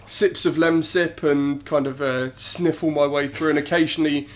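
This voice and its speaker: Man, podcast host, stuffy nose from a cold, casual chatty delivery, fairly high pitch. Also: steady tone, moderate pace. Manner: chatty, self-deprecating, many ums and restarts. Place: home studio.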